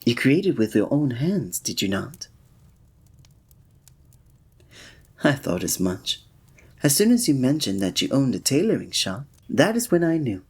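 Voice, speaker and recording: Man playing a soft, breathy, gallant fantasy innkeeper. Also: medium pitch, sing-song, normal speed. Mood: admiring, earnest. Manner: formal, old-fashioned, gallant, gentle phrasing. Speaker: ASMR roleplay performer. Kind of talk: scripted romantic ASMR roleplay.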